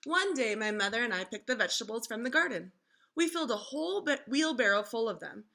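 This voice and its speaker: A woman reading a children's storybook aloud in an expressive, instructive way, like a teacher engaging young listeners. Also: on the high side, fast talker, vocal fry.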